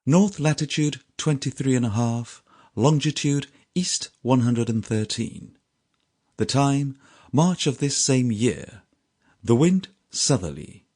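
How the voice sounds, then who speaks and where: A man reading a literary sea story as an audiobook narrator, with a measured, theatrical storytelling delivery. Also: medium pitch, lively intonation, darkish tone.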